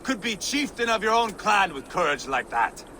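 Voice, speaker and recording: Brash Viking warrior, video game, loud, taunting, high forceful voice. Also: male voice, sing-song, moderate pace, a little hiss or hum, exaggerated voice. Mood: exuberant. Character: brash, boisterous hot-blooded Viking warrior.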